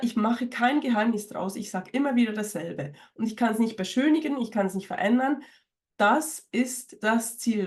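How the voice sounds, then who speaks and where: A woman with a cold, a little hoarse, talking in a casual, rambling way straight to her viewers as a spiritual coach, with many fillers and restarts. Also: on the high side. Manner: speaks directly to viewers. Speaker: spiritual coach and channel host. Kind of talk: casual talk to camera.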